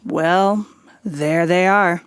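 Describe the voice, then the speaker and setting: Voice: medium pitch, extremely slow, a bit bright, clear, resonant tone, no echo. An actor playing a wry, streetwise private detective and war veteran in a noir audio drama, with a folksy hardboiled way of talking. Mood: businesslike. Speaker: lead character and narrator. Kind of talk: noir radio drama dialogue and narration. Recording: music under the voice. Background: background music.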